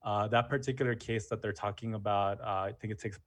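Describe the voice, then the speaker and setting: A history teacher talking casually as an interview guest, full of "you know" and "um" fillers. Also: male voice, fairly low pitch, fairly even intonation, a little fast. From United States. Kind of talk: casual conversation.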